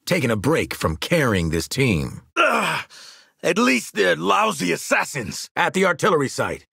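An actor playing a cocky, gruff American marksman mercenary in a video game, blunt and sardonic, with a gravelly, husky voice. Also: a little high, huge pitch swings, measured pace, bright, forceful tone, dry recording, clean studio sound, exaggerated voice. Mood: dry, sardonic. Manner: blunt, street-tough, sardonic. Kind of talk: video game combat barks.

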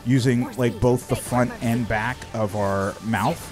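A man's voice: medium pitch, fairly even intonation, a little slow, over music. A man in his thirties, streaming a game from a small room at home, chatting casually into a studio mic, rambling with lots of fillers and restarts. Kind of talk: casual chat while gaming. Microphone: studio mic in front of face.

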